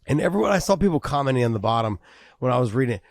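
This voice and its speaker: Male podcast co-host, mid-pitched, animated and wordy, dry studio mic. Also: racing, vocal fry, no room sound. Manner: animated, repeats words as he builds a point. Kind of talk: casual MMA podcast chat.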